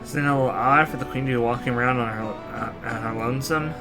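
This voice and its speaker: A man's voice: medium pitch, fast, natural voice, poor audio. An actor plays a laid-back but wary male fighter in a fantasy video game. His voice sits in the middle range, dry and sardonic, with casual, skeptical phrasing. Music is plainly heard underneath.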